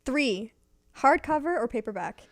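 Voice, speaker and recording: A woman's voice: high-pitched, animated, unhurried, no room sound, clean studio sound. A woman hosting a casual, conversational book chat in an agreeable manner. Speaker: host.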